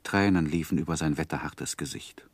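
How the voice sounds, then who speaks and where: An actor playing a reflective, grieving gentleman who narrates his life story in a measured, literary radio play style. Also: very deep voice, breathy edge, no echo. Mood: solemn grief. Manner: measured, solemn, sorrowful.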